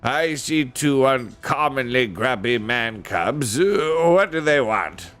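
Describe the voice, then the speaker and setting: A man's voice: mid-range voice, animated, slow, fairly bright tone, soft background music, voice pushed up. A man voicing a grumpy, hard-of-hearing old dwarf lord in a storybook, querulous and blurting questions. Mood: puzzled, grumpy. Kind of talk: storybook character voice.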